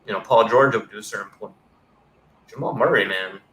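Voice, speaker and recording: A man's voice: lowish voice, brisk, a bit bright. A man in his thirties hosting a casual sports podcast over a video call, talking loosely and rambling, with casual swearing. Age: 30s. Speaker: podcast host. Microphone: headset on a video call. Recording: roomy sound with faint background noise.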